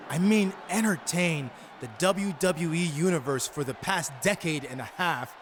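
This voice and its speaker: A man's voice: sits high, fairly bright tone, slight background noise. A man playing a cocky, mocking champion wrestler in a game, giving a sarcastic, taunting promo speech.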